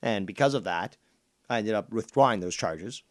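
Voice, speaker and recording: A man's voice: fairly low pitch, expressive, moderate pace. A Canadian man whose first language is Spanish, hosting and arguing back at a clip in a combative, profane, sarcastic way. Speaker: streamer host. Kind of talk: heated commentary on a clip.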